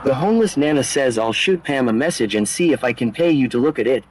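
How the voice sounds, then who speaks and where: A male text-to-speech voice reading out viewer chat messages in a flat synthetic delivery. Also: mid-range voice, fast, background noise.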